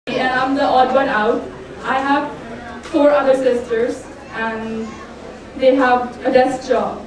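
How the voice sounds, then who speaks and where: A woman in her twenties from Qatar, earnestly and emotionally introducing herself as a pageant contestant on an indoor stage, through a microphone picked up from afar. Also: a little high. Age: 20s. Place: large indoor stage before an audience. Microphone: handheld microphone through speakers, heard from afar. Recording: echoey hall PA, distant pickup.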